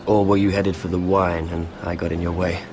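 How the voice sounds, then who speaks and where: A man's voice: deep voice, level tone, fairly quick, close to an everyday voice. A man playing a clumsy, meek nobleman in a fantasy game, hesitant and apologetic as he talks. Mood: bashful, hesitant. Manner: hesitant, apologetic, soft-hearted. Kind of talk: fantasy video game acting. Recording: music behind the dialogue.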